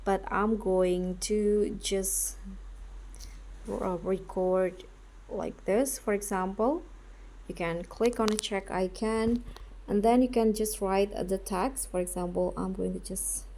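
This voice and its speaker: A woman hosting a step-by-step tutorial voice-over, speaking in a halting way, phrase by phrase. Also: medium pitch, steady tone, warm tone. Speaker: tutorial host.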